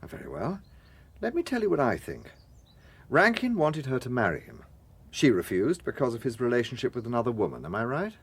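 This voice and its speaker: Gentleman British detective for radio drama; courteous, shrewd, dryly playful, slightly husky and expressive. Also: mid-range voice, exaggerated voice. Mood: probing. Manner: measured, polite, dryly authoritative.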